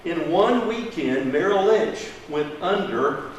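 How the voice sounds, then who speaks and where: A folksy, emphatic man in middle age leads a money seminar, tossing questions to his audience. His voice sits a little high. He is picked up from across a very echoey room with faint hiss. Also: expressive, slow pace, far from the mic. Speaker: financial advisor teaching a seminar. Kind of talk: classroom presentation. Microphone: room mic from a distance.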